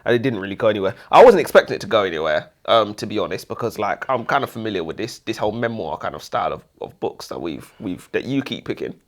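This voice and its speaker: A man's voice: fairly low pitch, sing-song, fast talker, few pauses. A man co-hosting a casual book review, speaking bluntly with dry humour.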